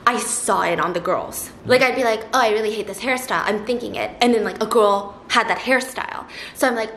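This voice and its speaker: A young woman hosting a casual chat, telling a story quickly and self-deprecatingly, with a lot of "like". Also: fairly high pitch. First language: Korean. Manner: fast, animated, self-deprecating storytelling with lots of "like". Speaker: YouTube host. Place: living room, seated on a round sofa. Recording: echoey room with faint noise.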